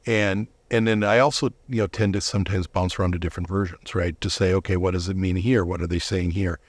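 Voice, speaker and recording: A man's voice: deep voice, steady tone, quick pace, dry recording. A man giving earnest, explanatory answers as a guest in a conversational interview. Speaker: interview guest, volunteer Bible reader.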